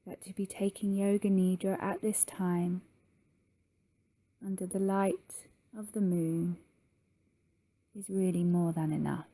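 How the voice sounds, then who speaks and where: A woman leading a guided yoga nidra meditation in a soft, soothing manner with long pauses. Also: on the low side, steady tone, no room sound.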